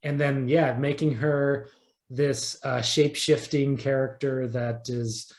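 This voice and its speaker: A man speaking as an interview guest, chatting casually and thinking aloud in halting, repeated phrases. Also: medium pitch, little pitch movement. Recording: echoey, roomy sound.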